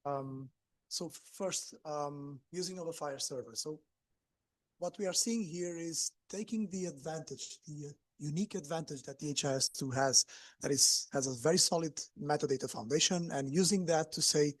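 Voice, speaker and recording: A man's voice: fairly high pitch, moderate pace, no echo. A man explaining a technical answer during a conference question session. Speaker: co-presenter.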